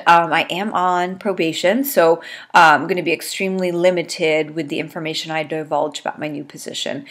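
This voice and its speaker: A woman in her thirties with a low, stuffed-up voice talks to her webcam from a small room at home. Her delivery is chatty and rambling, with lots of ums between thoughts. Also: a bit bright. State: congested. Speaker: beauty vlogger. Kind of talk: casual chat to camera. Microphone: webcam at desk.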